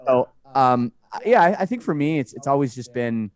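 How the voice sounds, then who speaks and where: A man's voice: mid-range voice, expressive, moderate pace. A man in his forties, a guest talking thoughtfully in a casual chat, often restarting sentences. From Canada. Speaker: guest musician.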